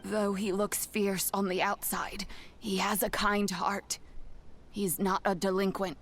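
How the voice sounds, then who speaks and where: An actress playing a kindly old granny in a game, speaking in a gentle, measured, motherly way. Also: medium pitch, little pitch movement. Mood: fond scolding. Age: older.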